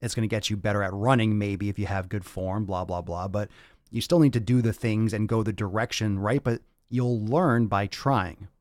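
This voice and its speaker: A man with a fairly low voice delivers a blunt motivational rant as a fitness podcast host. He speaks emphatically, swears freely and repeats phrases for weight. The sound is close and dry. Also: a little mellow, dry recording. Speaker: fitness coach hosting a podcast. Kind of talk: motivational rant to livestream audience.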